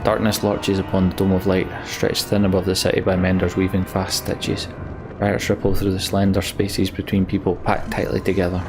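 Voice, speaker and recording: A man's voice: low-pitched, background noise. A man commentating on a video game, reading its text aloud and adding dry, resigned asides, with music behind him. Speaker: let's play host.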